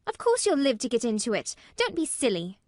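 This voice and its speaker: Woman voicing an earnest, high-pitched, blunt little girl. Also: animated, normal speed, no echo, studio quality. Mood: indignant. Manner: frank, matter-of-fact, emphatic. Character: earnest, blunt young girl, solemn and indignant. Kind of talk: acted storybook dialogue.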